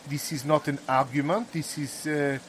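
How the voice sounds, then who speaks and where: A man's voice: on the high side, fairly even intonation, moderate pace, a little hiss or hum, close to an everyday voice. A man playing an indignant, rambling housemate, arguing and musing hesitantly in improvised audio comedy. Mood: exasperated. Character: indignant, rambling man bickering with his housemate, mildly philosophical.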